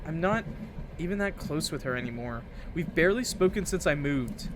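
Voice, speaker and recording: A man's voice: a little high, animated, normal speed, lightly acted. Grumbling, sarcastic young traveler, weary read by a man, faint noise behind, game dialogue. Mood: sulky.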